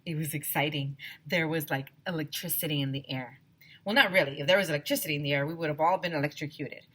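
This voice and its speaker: A woman teacher reading a children's story aloud, expressively, slowing down for emphasis. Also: low voice, pushed and bright.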